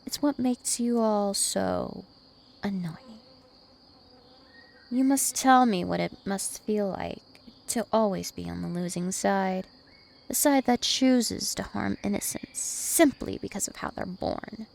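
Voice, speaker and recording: A woman's voice: medium pitch, slow, creaky, darkish tone. A woman voicing a dominant, taunting, ancient vampire princess in an ASMR roleplay, with haughty, teasing menace. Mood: scornful. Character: two-thousand-year-old vampire princess, female, dominant, taunting, imperious.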